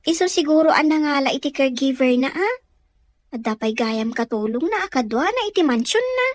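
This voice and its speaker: Animated, high-pitched actress as a talkative auntie. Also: female voice, fairly quick, no room sound. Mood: informal, explaining. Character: talkative auntie answering a child's questions. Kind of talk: Ilocano radio drama acting.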